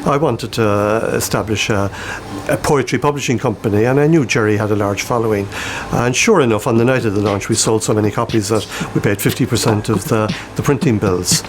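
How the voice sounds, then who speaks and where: An Irish-accented man, a publisher being interviewed, recalls things informally in a halting, amused storyteller's way. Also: lowish voice, a little hiss or hum.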